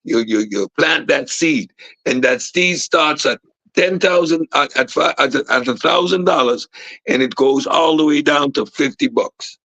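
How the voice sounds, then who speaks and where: A man's voice: a little high, measured pace, pushed and bright, dry recording, muffled recording. A man talking casually and emphatically, restarting often and checking in with "right?". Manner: emphatic, many restarts, keeps asking "right?". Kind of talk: casual discussion.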